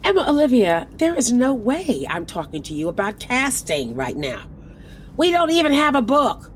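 An actress playing a guarded, exasperated female theatre producer in a modern audio drama, speaking in a measured, formal, emphatic way. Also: fairly high pitch, big pitch swings, a little hiss or hum.

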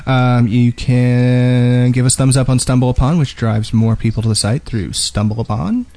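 A man's voice: mid-range voice, fairly even intonation, unhurried, mellow. Joking male podcast co-host, mid-range voice. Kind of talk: casual, jokey group podcast chat.

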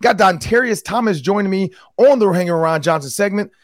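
A hoarse, slightly raspy man hosts a sports podcast with chatty, upbeat energy. His voice sits a touch high for a man, and the sound is dry and close, with no room around it. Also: lively intonation, a little fast, no room sound. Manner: upbeat, chatty, frequent restarts. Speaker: podcast host. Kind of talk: casual sports talk.

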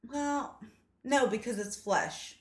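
A woman's voice: fairly high pitch, takes it slowly. A woman guesting on a podcast in casual banter, playful, laughing often and arguing cheekily.

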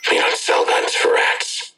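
A man playing a sinister dark-net chatbot dealer in an audio drama, cryptic and coldly insistent, with a gravelly, breathy near-whisper. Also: soft background music. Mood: menacing, insistent. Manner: deliberate, cryptic, menacing. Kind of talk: full-cast audio drama acting.

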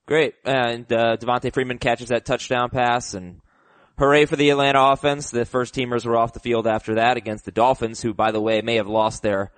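A man hosts a casual sports chat with animated energy, his voice slightly roughened by a cold. The sound is dry and close, with no room around it. He talks at a middle pitch for a man. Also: brisk, no echo. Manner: animated, hot takes, restarts. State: slightly sick voice. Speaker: podcast host.

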